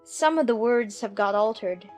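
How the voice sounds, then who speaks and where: Woman as timid, well-mannered storybook little girl, earnest delivery, high voice, soft music underneath. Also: expressive, moderate pace, close to an everyday voice. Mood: timid, apologetic. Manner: polite, hesitant, earnest. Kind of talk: dramatized audiobook character acting.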